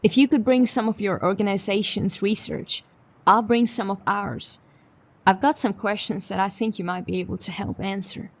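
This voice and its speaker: A woman playing a determined, businesslike detective in a sci-fi audio drama, speaking in a measured, purposeful way. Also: mid-range voice, steady tone, far from the mic, lightly acted. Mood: businesslike, polite. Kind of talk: audio drama acting, dialogue and monologue.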